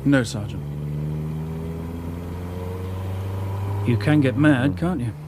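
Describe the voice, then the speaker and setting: An actor playing a young junior police detective in a radio drama, deferential and a little hesitant. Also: male voice, medium pitch, normal speed, background noise, close to an everyday voice. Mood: questioning.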